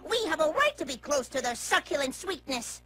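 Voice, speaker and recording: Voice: high-pitched, very animated, a little fast, exaggerated voice. An actor voicing a fussy, anxious, bookish young boy in a cartoon video game, speaking in precise, wordy sentences. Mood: precise, earnest. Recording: loud music behind the voice. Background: music.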